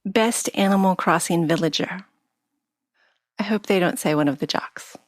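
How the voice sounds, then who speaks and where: A woman's voice: fairly low pitch, normal speed, no room sound, clean studio sound. A woman co-hosting a podcast, asking questions in a playful, easy-laughing way. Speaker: podcast co-host. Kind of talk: casual interview chat.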